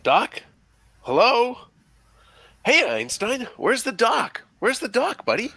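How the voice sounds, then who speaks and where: A man's voice: extremely high pitch, wildly swooping pitch, slow, gravelly, sharp tone, no room sound, heavily acted. A man reading the part of a casual, eager, slightly flustered teenage boy at an amateur table read. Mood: curious.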